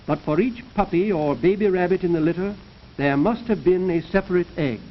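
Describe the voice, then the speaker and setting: A man giving formal, measured, scripted narration in the manner of a 1930s educational science film, lecturing as the narrator. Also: sits high. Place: recorded voice-over for a film. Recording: dull, noisy 1930s film soundtrack.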